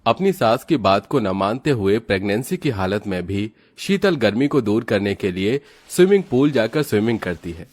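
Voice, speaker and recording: A man narrating an animated moral tale for children in a scripted storytelling style. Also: lowish voice.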